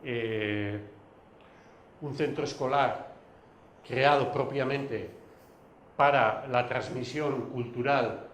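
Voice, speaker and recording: A man whose first language is Basque, giving a recorded video lecture, pausing often with fillers and restarts. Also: mid-range voice, steady tone, roomy sound, a little hiss or hum. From Basque Country. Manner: hesitant, many fillers and restarts.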